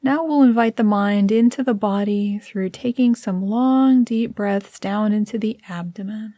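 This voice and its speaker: A woman leading a scripted guided meditation in a soothing, measured, instructional manner. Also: mid-range voice, mellow, no echo, studio quality.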